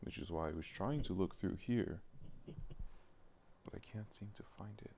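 A man thinking aloud in a casual, halting way as he streams work at his computer, muttering to himself. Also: on the low side, vocal fry, a little mellow, slight background noise. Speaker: live streamer working on a game mod. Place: indoors at a computer, keyboard typing.

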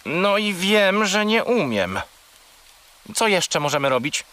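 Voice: medium pitch, sing-song, takes it slowly, bright, forceful tone, exaggerated voice. An actor voicing a grumpy, sulky little male furry pet in a children's audio play, with a matter-of-fact manner.

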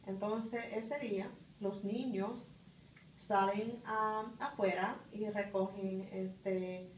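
A middle-aged woman, answering interview questions in an office conference room, pausing often with fillers. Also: mid-range voice. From Puerto Rico. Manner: thoughtful, pauses with many "ah" and "este" fillers. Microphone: far phone camera. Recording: roomy, distant, faint hiss.

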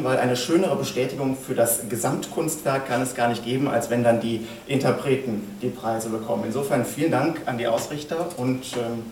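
A man's voice: mid-range voice, darkish tone. A middle-aged man giving an acceptance speech at a lectern, recorded from a camera out in the room. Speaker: producer, director and author accepting an award on behalf of an actor. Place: small indoor event stage at a lectern. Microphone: far camera in the audience. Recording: echoey stage room, distant camera, faint hiss.

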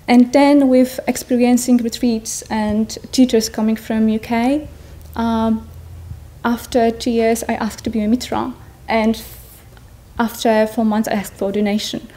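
Earnest Polish woman with a mellow voice. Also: on the high side, flat delivery, measured pace. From Poland. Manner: earnest, searching for words, non-native phrasing. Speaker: speaker at a Buddhist gathering. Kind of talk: personal talk to an audience. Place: large tent in front of an audience. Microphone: stand mics in front of her.

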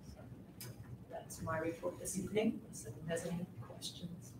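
A woman giving the assistant superintendent's report at a school board meeting, in a measured way with some fillers. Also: lowish voice, steady tone, background noise. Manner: measured, reads out figures with fillers. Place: large meeting room with long tables. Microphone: table mic from far off. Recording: echoey boardroom picked up from far off.

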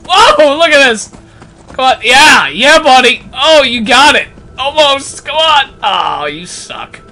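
A man co-hosting a video game show, joking casually and exclaiming excitably as he plays. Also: very high voice, takes it slowly, low-quality recording. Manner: excitable, jokey, prone to exclaiming. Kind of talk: casual, joking gameplay commentary.

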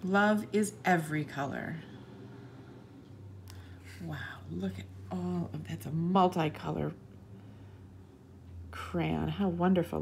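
Female story reader for young kids; slightly low register, swooping expressive delivery, light room noise. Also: creaky. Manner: expressive, addresses children directly. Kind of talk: read-aloud storytelling for young children.

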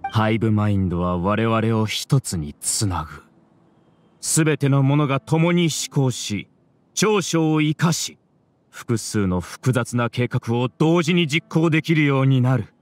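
A man's voice: medium pitch, measured pace, no room sound, lightly acted. A man voicing an arrogant scholar villain in a video game, grandiose and lecturing in a theatrical way. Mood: triumphant, scheming. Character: arrogant male scholar villain, mastermind of a hive mind. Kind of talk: Japanese video game voice acting.

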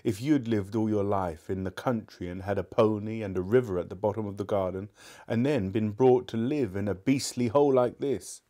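A man's voice: lowish voice, normal speed, warm tone, close to an everyday voice. A man voicing a miserable, defiant young schoolboy who blurts things out in a storybook reading. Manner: wound-up, blurting.

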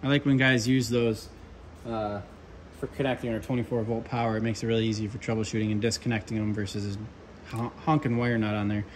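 A man's voice: on the low side, a little fast, a little hiss or hum. Chatty young man showing off his tools. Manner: relaxed, chatty, with frequent ums and asides. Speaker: HVAC control technician. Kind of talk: casual tool walkthrough. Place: workshop with pegboard and workbench.

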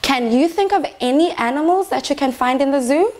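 A woman's voice: high-pitched, expressive, fairly quick, a little mellow. A young woman teacher reading a picture book aloud to small children, expressively and with prompting questions. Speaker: infant teacher reading a storybook. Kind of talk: children's story time read-aloud. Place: small decorated indoor reading corner.

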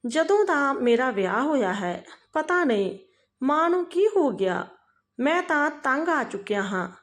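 Woman acting young married man, high lively voice, aggrieved, protesting, plain-spoken, narrated family drama. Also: measured pace. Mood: sad, fed up.

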